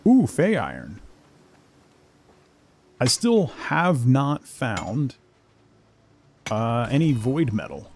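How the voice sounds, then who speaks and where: A man's voice: mid-range voice, wildly swooping pitch, extremely slow, pauses often, darkish tone, slight background noise. A man giving casual solo commentary as a game streamer, musing and thinking aloud to himself.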